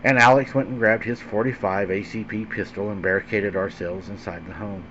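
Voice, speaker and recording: A man narrating a scary story as host, in a dramatic, suspenseful way with deliberate, emphatic phrasing. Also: fairly low pitch, steady tone, a bit bright. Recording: dull lo-fi sound with faint background hum.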